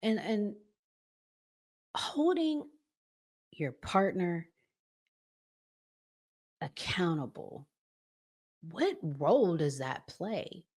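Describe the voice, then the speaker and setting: A woman hosting a commentary vlog, talking conversationally in deliberate phrases broken into short pieces. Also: on the low side, big pitch swings, a little mellow. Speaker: host.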